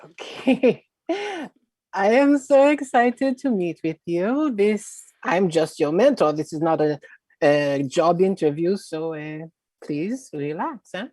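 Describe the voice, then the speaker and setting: An actress playing Marie, a confident middle-aged woman mentor from Haiti, who speaks in an unhurried way and ends her lines with coaxing tag questions. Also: lowish voice, very animated, mellow, no echo, full character voice. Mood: reassuring. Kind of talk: live-streamed stage play reading. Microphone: video call.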